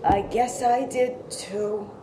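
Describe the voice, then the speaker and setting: A woman's voice: mid-range voice, fairly even intonation, takes it slowly, dark tone, lightly acted. A woman playing a cool, wary, sultry gang member in a noir radio comedy. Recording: roomy, faint background noise.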